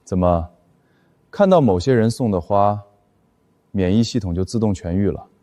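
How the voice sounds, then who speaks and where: Man acting a cold, low-voiced young boss. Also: moderate pace, dark tone, dry recording, close to an everyday voice. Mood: cold sarcasm. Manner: stern, formal, cutting. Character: cold, possessive young male boss, icy and sarcastic. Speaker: company boss. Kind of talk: dubbed animated romance short drama.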